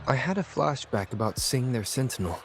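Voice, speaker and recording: Actor for a game protagonist: even, earnest, mid-pitch. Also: male voice, a little hiss or hum, close to an everyday voice. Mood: calm. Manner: calm, measured, earnest. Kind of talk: video game voice acting. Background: game music.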